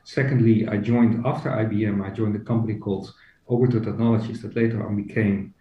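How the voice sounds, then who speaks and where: A man's voice: on the low side, level tone, fast, slight background noise. A middle-aged man, an HR executive and company co-founder, talking as a podcast guest, hesitant with many fillers and restarts. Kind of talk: casual interview. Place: joining remotely from home. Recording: roomy, distant, dull remote audio.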